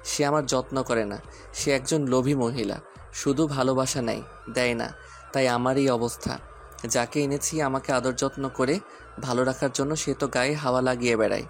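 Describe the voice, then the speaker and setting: A man playing a teasing, romantic husband and protective father who can turn gruff, in an audio story drama. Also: mid-range voice, steady tone, moderate pace, slight background noise, close to an everyday voice. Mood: playful complaining.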